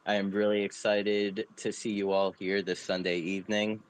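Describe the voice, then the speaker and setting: A man's voice: fairly low pitch, flat delivery, moderate pace, clean tone, rough recording. A man hosting an online music show, talking casually with many fillers and restarts. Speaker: host.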